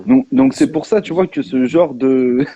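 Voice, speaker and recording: A man with a rough, dark-toned voice gives casual personal testimony. He is halting and emphatic, repeating phrases. The audio is dull and lo-fi. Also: fairly high pitch, animated. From France. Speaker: live discussion participant. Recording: muffled, echoey lo-fi live stream.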